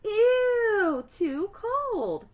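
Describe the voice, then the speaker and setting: Curious, picky little girl, picture-book read-aloud, female narrator: high, expressive, exclaiming. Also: very slow, heavily acted. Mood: disgusted. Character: curious, picky young girl exploring an igloo.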